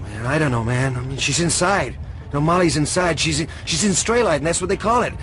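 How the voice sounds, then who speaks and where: A streetwise, high-voiced, animated hacker. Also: male voice, fairly quick, poor audio. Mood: sullen, uneasy. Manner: informal, streetwise, emotionally raw. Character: cynical, desperate young computer hacker, streetwise. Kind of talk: radio drama acting.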